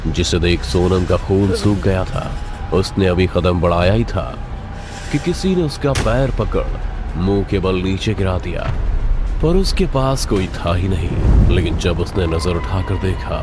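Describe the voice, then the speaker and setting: Low voiced guy narrating a scary cartoon story, dramatic, music going behind.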